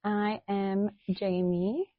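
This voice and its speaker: A woman's voice: mid-range voice, flat delivery, no room sound, phone-quality sound. Chatty South African woman in her thirties. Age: 30s. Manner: chatty, laughs often, quick asides. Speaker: podcast co-host. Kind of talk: casual chat.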